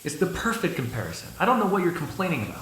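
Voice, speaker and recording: A man's voice: a little high, big pitch swings, quick pace, fairly bright tone, slight background noise, poor audio. A man playing a sarcastic, flirtatious vampire in an audio roleplay, teasing and swearing casually. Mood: incredulous sarcasm. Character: snarky, flirtatious, insatiable male vampire on the run. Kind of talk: ASMR roleplay acting, one side of a dialogue.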